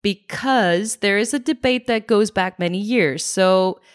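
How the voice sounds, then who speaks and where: A young woman co-hosting a casual chat, playful and quick to laugh. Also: mid-range voice, no echo, clean studio sound. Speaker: co-host.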